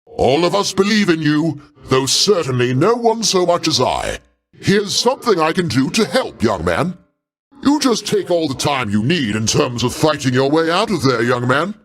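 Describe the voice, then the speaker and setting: An actor playing a proud, paternal older god in a video game, speaking in a pompous, avuncular way with formal, theatrical diction. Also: male voice, a little high, very animated, normal speed. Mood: encouraging, proud. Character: grandiose, paternal older male Greek god, proud, easily offended.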